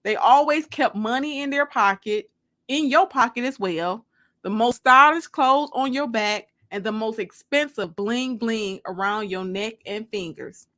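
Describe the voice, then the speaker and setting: A woman's voice: fairly high pitch, measured pace, fairly bright tone. A woman reading her own novel aloud as a dramatic first-person audiobook narration.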